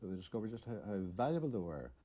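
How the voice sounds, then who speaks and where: A middle-aged Northern Irish man from Derry speaks in a low, very mellow and dark voice with a husky edge. He talks off the cuff as an interviewee, halting as he searches for words. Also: sing-song. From Derry, Northern Ireland. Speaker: interviewee, local photo archivist. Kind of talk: unscripted interview recollection.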